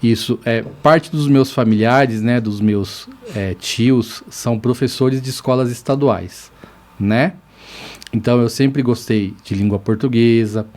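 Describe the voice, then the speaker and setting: A man's voice: medium pitch, measured pace, a little mellow. A man in his forties from São Paulo, a lawyer guest on a podcast, telling his life story in a rambling, grateful way. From São Paulo, Brazil. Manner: rambling, grateful, self-correcting. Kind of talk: casual interview storytelling.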